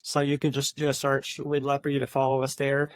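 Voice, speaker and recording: A man co-hosting a podcast in casual book chat, speaking haltingly and often repeating words as he goes. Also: medium pitch, monotone, no echo. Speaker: podcast co-host.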